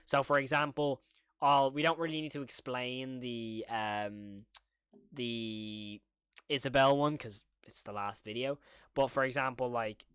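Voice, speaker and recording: A man's voice: medium pitch, a bit bright, clean tone, no echo, studio quality. A man giving casual, rambling live-stream commentary to his chat as a reaction streamer, informal and frank. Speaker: streamer reacting to horror videos.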